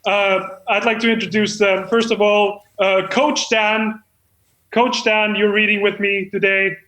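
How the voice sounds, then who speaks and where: A man's voice: high voice, little pitch movement, a little slow, bright, forceful tone. A man playing a deluded, eager amateur screenwriter who hosts a comedy show in an effusive, self-important manner. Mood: effusive, polite. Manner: effusive, self-important, stumbling when off script. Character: deluded, eager amateur screenwriter hosting his own reading. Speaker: host. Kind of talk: comedic monologue and mock talk-show hosting. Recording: roomy echo.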